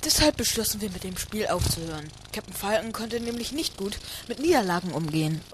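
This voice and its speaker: Male storyteller for comic audio drama, lighter high register, scripted first-person narration, clear background noise. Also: expressive, fairly quick. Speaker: narrator and lead character. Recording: clearly noisy homemade recording.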